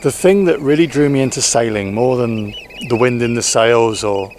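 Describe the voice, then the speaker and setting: A man in his forties talking casually to camera outdoors at a boatyard, relaxed and reflective, with frequent fillers and restarts. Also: medium pitch, normal speed, slight background noise. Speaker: sailing vlogger. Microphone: camera-mounted mic, handheld selfie framing.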